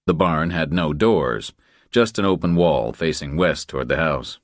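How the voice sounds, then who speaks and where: A man's voice: rumbling low, measured pace, dry recording, studio quality. A man reading a thriller audiobook as scripted narration.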